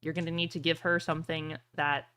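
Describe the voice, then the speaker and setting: A woman acting as game master, narrating and explaining a tabletop roleplaying game in a deliberate way. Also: deep voice, little pitch movement, sharp tone, smooth.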